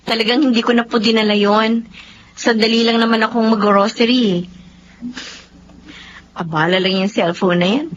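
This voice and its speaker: A woman's voice: on the high side, steady tone, moderate pace, long pauses, close to an everyday voice. A woman playing a shy, modest, dutiful young woman in a Filipino radio drama, with a Filipino accent. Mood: everyday, mildly exasperated. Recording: dull, slightly noisy lo-fi recording.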